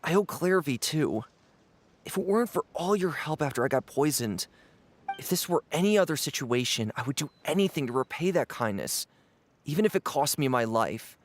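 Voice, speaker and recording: A man's voice: on the high side, expressive, normal speed, close to an everyday voice. Man playing an earnest, lighter-voiced young man. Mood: torn, regretful. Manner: earnest, pleading. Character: earnest, conflicted young man indebted to his friends. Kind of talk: video game voice acting.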